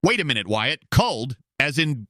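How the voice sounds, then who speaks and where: Male actor as conspiracy radio host, showy announcer manner, forceful bright voice, close dry studio sound. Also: medium pitch, big pitch swings, moderate pace, dry recording. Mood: grave, intrigued. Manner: showy, emphatic, folksy announcer delivery. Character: hyped-up conspiracy radio show host, brash showman. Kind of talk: bombastic talk radio hosting in an audio drama.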